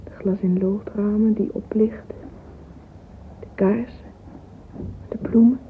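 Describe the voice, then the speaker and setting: An actress playing a vain, romantic young woman in a radio drama monologue, intimate and breathy, often whispering. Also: mid-range voice, fairly even intonation, takes it slowly. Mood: dreamy, rapt. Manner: intimate, confiding. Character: dreamy, vain, catty romantic young woman musing privately. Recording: tinny, hissy old radio recording.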